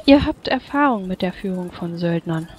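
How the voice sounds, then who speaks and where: A woman playing a composed war veteran in a tabletop roleplay, probing with polite, formal, measured speech. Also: on the low side, lively intonation, breathy edge. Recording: dull, muffled voice-chat audio. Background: background music.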